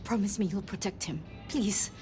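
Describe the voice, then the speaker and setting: An actress voicing a gentle, anxious, idealistic female vampire in a video game, with a hesitant, earnest manner. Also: medium pitch, normal speed, music in the background, natural voice. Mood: pleading. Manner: hesitant, earnest, flustered, polite.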